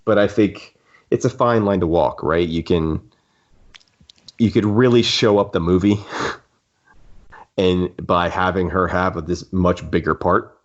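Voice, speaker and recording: A man with a low voice talks casually as a podcast co-host. He rambles and cuts himself off in the easy style of a fan discussion. The sound is a little dull and lo-fi.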